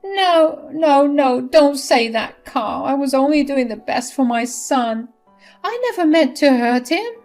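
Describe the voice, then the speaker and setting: A woman's voice: sits high, animated, unhurried, darkish tone, pitched above the performer's own voice. A woman voicing a desperate middle-aged mother-in-law who pleads and whines, over faint music. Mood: begging.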